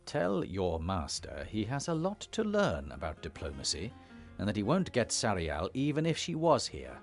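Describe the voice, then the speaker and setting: An actor playing a resolute emissary and elder in a fantasy video game, firm, serious and authoritative. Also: male voice, lowish voice, huge pitch swings, normal speed, soft background music. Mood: defiant. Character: commanding male dragon-blooded emissary and elder, resolute hero. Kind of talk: dramatic video game acting.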